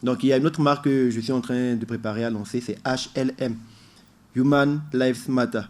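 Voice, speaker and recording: An earnest man talking through his ideas as a guest on a radio show. He explains at length and leans hard on key words. His pitch sits in the ordinary middle range. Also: fairly even intonation, normal speed, a little mellow. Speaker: musician guest. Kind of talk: radio interview. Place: radio studio.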